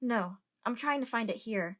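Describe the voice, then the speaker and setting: A woman's voice: on the high side, animated, normal speed, fairly bright tone. A woman in an amateur audio drama playing a curious, outspoken young passenger who chats and lectures. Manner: chatty, lecturing.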